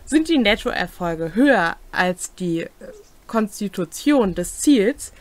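A woman's voice: mid-range voice, animated, slow, dry recording. A young adult woman casually reading out and discussing tabletop game rules as a co-host. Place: small indoor home room.

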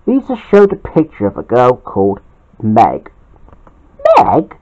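An amateur storyteller reading aloud and talking to viewers in a halting manner with drawn-out words. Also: mid-range voice, huge pitch swings, mellow, dull audio. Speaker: storyteller and channel host.

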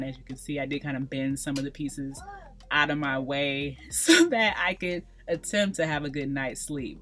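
A woman's voice: deep voice, a bit bright. A woman in her thirties walking viewers through a hair tutorial in a casual, emphatic way, pleading with them to be patient. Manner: emphatic, coaching viewers with repeated pleas. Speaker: natural hair vlogger. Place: small bright room indoors.

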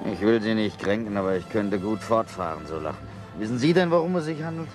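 An actor playing a proud, bitter leper dying alone, with a sardonic, halting delivery in a radio play. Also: male voice, on the low side, expressive, lightly acted. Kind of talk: radio drama acting. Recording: radio play with music underneath.

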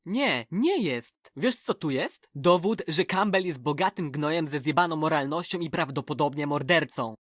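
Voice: mid-range voice, expressive, normal speed, hardly any pauses, dry recording, studio quality, close to an everyday voice. An actor voicing a cynical, foul-mouthed, sarcastic young boy with a scornful manner in a cartoon fan dub. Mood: irritated, insistent. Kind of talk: animated series fan dub.

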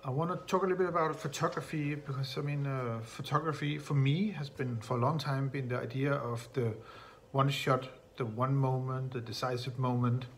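Middle-aged man, halting casual talk. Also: medium pitch, fairly even intonation, slight background noise. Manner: casual, halting, many fillers, non-native phrasing. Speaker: photographer presenting his project. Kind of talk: recorded talk to camera. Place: bright home room indoors.

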